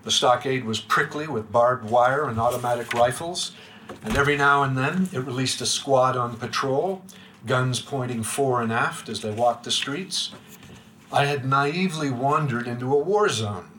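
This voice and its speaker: Measured older man, bright tone. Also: medium pitch, slight background noise, low-quality recording. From United States. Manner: measured and literary, dropping quiet at line ends. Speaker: speaker giving a lecture. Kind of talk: reading a prepared reflective talk. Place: room with a live audience.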